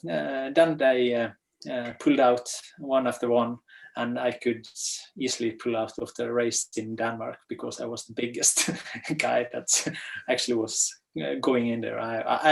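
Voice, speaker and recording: A man in his fifties with a Norwegian accent speaks English as a second language. His voice sits at a middle pitch with a gravelly, fry-laden edge. He talks in a halting, stop-start way, over a video call. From west coast of Norway. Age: 50s. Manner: halting, many fillers and restarts. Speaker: guest, ultra runner. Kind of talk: casual storytelling in a webinar.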